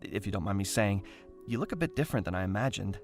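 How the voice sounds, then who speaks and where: A man's voice: fairly low pitch, lively intonation, fast talker, faint music behind. A man playing an eager, polite young actor in an audio drama, gushing in wordy, earnest sentences. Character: eager, starstruck young actor gushing over his idol.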